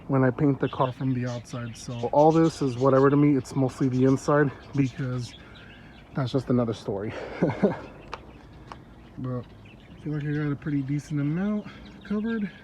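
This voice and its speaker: Stuffy-nosed man, casual car vlogger talking to his phone outside, easygoing, faint outdoor noise. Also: medium pitch. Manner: relaxed, chatty, laughs often. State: congested, stuffy nose. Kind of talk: casual how-to vlog narration. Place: outdoors in a yard. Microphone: phone held while working.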